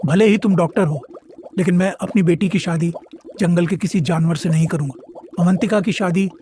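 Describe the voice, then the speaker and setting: A stern, indignant merman father in a cartoon fairy tale, acted by a man. The voice is high and on the dark, mellow side, scolding and protective, over faint watery background noise. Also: moderate pace, lightly acted. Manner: scolding, authoritative. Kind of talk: Hindi cartoon moral story acting.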